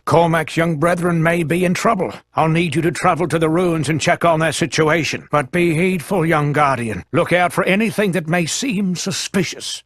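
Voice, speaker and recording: A man's voice: a little high, moderate pace, few pauses, slight background noise, lightly acted. A man voicing a solemn monk owl. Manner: solemn, cautioning. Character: grave male monk owl giving orders. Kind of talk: video game cutscene acting. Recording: game audio with loud music behind.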